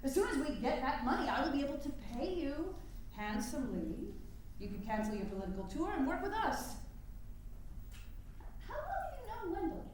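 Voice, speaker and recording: An actress playing an ardent, stubborn suffrage crusader in a staged historical drama, speaking emphatically and insistently. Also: female voice, high voice, sing-song, moderate pace, a little mellow. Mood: persuasive. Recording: very echoey room with faint noise.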